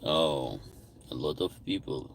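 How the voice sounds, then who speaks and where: A man's voice: a bass voice, slow pace, vocal fry, a little hiss or hum. A man hosting a casual livestream, greeting viewers by name and answering their comments aloud. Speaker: livestream host.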